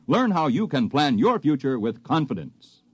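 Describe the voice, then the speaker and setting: A male radio announcer reads scripted promos in 1950s style. His mid-pitched voice swings widely in intonation, and the sound is dry. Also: sing-song, normal speed, breathy edge, no room sound.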